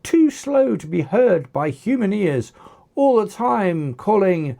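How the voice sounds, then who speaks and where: A narrator reading an action story as its author, with animated, dramatic delivery and acted dialogue. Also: mid-range voice, slow pace, creaky. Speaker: author narrating own book.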